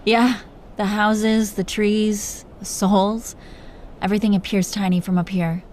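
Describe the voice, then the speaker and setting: A woman's voice: mid-range voice, level tone, a little slow, a little mellow, a little hiss or hum, close to an everyday voice. A woman playing a protective, doting dragon queen who teases her lover tenderly in an intimate roleplay. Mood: wistful, affectionate. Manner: tender, playfully teasing, breathy whispers at times. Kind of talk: intimate roleplay audio, soft acting.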